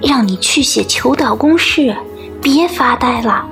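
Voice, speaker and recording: Woman as high-voiced, caring schoolgirl. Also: lively intonation, measured pace, creaky, fairly bright tone, faint music behind, exaggerated voice. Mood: urgent, prodding. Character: caring teenage schoolgirl, a concerned classmate. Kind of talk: Chinese audio drama acting.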